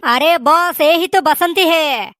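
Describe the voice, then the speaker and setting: A man voicing a stubborn, defiant, mouthy male landlord in a comic cartoon, with a pitched-up voice and insistent delivery. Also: slow pace, bright, forceful tone, dry recording, studio quality, heavily acted. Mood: blustering. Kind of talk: exaggerated comic cartoon dialogue.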